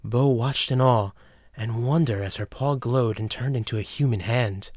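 A man's voice: medium pitch, moderate pace, a little mellow, no echo, studio quality. A man narrating a scripted story for an audio drama.

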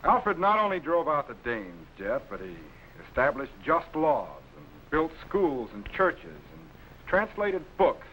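An actor playing an authoritative space captain and mentor, formal and didactic, in 1950s children's television style. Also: male voice, on the high side, sing-song, a little slow, a bit bright, exaggerated voice, low-quality recording. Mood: earnest, admiring. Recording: vintage TV film soundtrack, faint noise.

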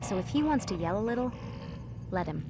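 A woman's voice: medium pitch, big pitch swings, brisk, background noise. An actress with an American accent playing an earnest, weary young woman scientist in a video game. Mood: reflective, earnest. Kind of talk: cinematic video game acting.

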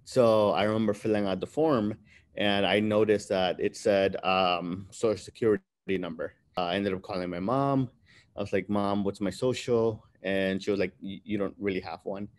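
A man in his thirties, born in Peru and raised in New Jersey, telling his life story as an interview guest on a video call, relaxed and filler-heavy. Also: lowish voice, normal speed. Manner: relaxed, many fillers, laughs between phrases. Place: room with bright window behind. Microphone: webcam on video call.

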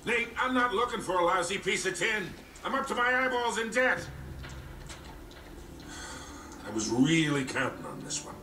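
An actor playing a gruff, wisecracking older treasure-hunting mentor in a video game, folksy and teasing. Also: male voice, high voice, sing-song, a little fast, noisy background. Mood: grumbling. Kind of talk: video game cutscene acting. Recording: roomy, distant game audio with music.

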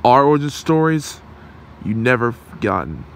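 A man acts out a menacing supervillain in an ASMR roleplay. He gloats possessively and makes theatrical threats in a medium-pitched voice. Faint noise runs under the recording. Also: very animated, moderate pace. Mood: menacing, gloating. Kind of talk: amateur ASMR roleplay drama. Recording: noisy room hum.

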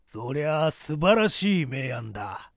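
A man's voice: fairly high pitch, sing-song, unhurried, vocal fry, no echo, clean studio sound, pitched below the performer's own voice, exaggerated voice. A man voicing a rigid, zealous party loyalist in an audiobook drama, with an emphatic, declamatory delivery. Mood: eager. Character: rigid, zealous male party loyalist preaching iron discipline. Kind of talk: dramatic audiobook character dialogue.

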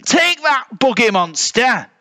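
Man playing pint-sized male bear, high-pitched, bossy, belligerent, forceful shouting, storybook villain. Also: moderate pace. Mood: bossy, combative. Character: smallest brown bear, bossy, fierce little male.

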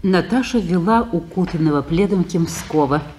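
Calm woman narrating stage directions. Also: lowish voice, moderate pace, slight background noise.

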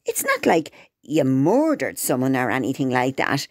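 A woman's voice: extremely low pitch, huge pitch swings, normal speed, no room sound, studio quality, full character voice. An actress playing a bossy, blunt, foul-mouthed Irish woman of the house in a comedy radio drama. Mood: blunt, incredulous.

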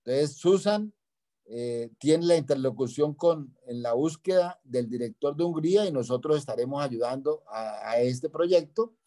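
A man, hosting a formal online presentation in a deliberate, didactic way with rhetorical questions. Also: medium pitch, animated, warm tone. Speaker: project presenter and meeting host. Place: indoors, video call.

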